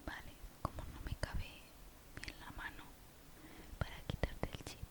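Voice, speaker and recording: A woman speaking in a breathy whisper, soothing and careful, in an ASMR role-play addressed straight to the listener. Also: poor audio. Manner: soothing, careful, reassuring, with diminutives. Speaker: ASMR creator role-playing a robot repairer.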